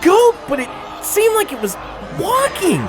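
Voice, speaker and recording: A man acts out a terrified man in a horror audio drama, babbling and whining in a very high, slow voice. He stammers as his pitch swings widely, with music playing behind him. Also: huge pitch swings, over a noisy crowd, heavily acted. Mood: frightened awe. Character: panicky, terrified adult farm visitor, a man.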